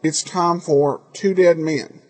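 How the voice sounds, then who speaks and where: A man's voice: fairly high pitch, steady tone, takes it slowly, darkish tone. A man with an American accent, hosting a podcast with an informal introduction. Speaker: podcast host.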